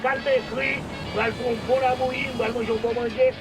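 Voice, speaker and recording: Guy on the radio rattling off fast and high, far off with loud music under him. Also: little pitch movement. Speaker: radio host. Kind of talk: live radio talk. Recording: distant mic over loud music.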